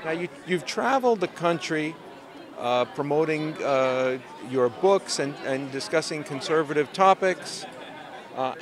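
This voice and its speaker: A man interviewing an author at a convention, asking his questions in a halting way with many fillers. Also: on the high side. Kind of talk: on-the-spot interview. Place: busy convention hall, indoors.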